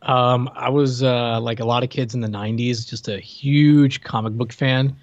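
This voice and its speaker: A man's voice: medium pitch, fairly even intonation, moderate pace, highs cut off. A man in his thirties co-hosting a podcast, easygoing and agreeable, laughing along in casual chat. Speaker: podcast co-host.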